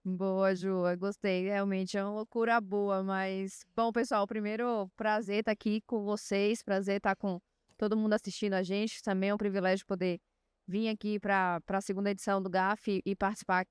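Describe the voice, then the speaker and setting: A woman's voice: medium pitch, little pitch movement, normal speed, clear, resonant tone, dry recording, clean studio sound. A woman from Salvador with a Bahian accent, speaking as a panelist and telling her career story fluently and confidently. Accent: Bahian Portuguese. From Salvador, Bahia, Brazil. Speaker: panelist, innovation executive. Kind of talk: panel talk.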